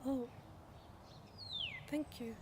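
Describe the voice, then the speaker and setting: A polite war widow, acted by a woman. Her high voice moves slowly and haltingly through a radio play. Faint ambient noise sits behind her. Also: fairly even intonation. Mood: moved, grateful. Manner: halting, tentative. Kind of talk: student radio drama acting.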